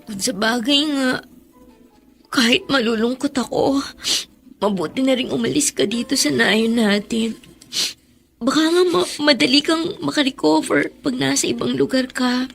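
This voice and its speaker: A woman playing a sympathetic young female friend, gentle and consoling, in a Filipino radio drama. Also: sits high, normal speed, gravelly, slightly rough, faint music behind, full character voice. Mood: sad, consoling. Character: sympathetic young woman, tearful close friend of a troubled girl.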